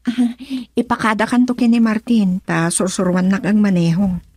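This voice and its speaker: A woman's voice: mid-range voice, moderate pace, a little mellow, husky, dry recording, natural voice. An actress with a Filipino accent playing a flirtatious, coaxing lady employer in a radio drama. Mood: coy, teasing. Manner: coaxing, teasing. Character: coy, flirtatious married lady employer of a driver.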